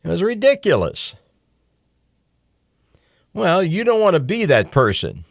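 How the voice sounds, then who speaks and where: A middle-aged male radio host lectures his listeners in a blunt, slightly profane way, stumbling through fillers and restarts. His pitch rises and falls in an animated way. The studio mic sounds close and dry. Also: measured pace, vocal fry, dry recording. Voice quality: blunt, mildly profane, conversational. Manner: lively, hectoring, with frequent fillers and restarts. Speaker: radio talk show host. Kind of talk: call-in talk radio monologue. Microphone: studio microphone.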